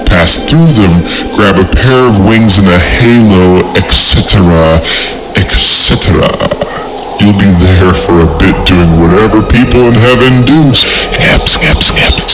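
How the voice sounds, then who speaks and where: A man's voice: low voice, poor audio. A man hosting a podcast, reading a horror story in a theatrical, sarcastic way with rambling, profane comic asides, music playing behind him. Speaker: podcast host. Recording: loud music under the voice. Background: loud music.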